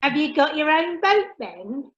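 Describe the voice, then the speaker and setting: A woman's voice: sits high, very animated, unhurried, fairly bright tone, full character voice. A woman playing a brash, cheeky working-class mother, chatty and gossipy, in an amateur radio comedy. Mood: nosy, chatty. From Basildon, Essex, England (character). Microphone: video call. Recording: dull, lo-fi video call.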